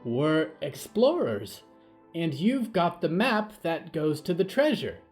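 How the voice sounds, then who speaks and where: A man voicing an eager, high-pitched little boy ghost. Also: takes it slowly, soft background music. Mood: eager, playful. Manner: excited, coaxing. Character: eager childlike boy ghost, playful adventurer.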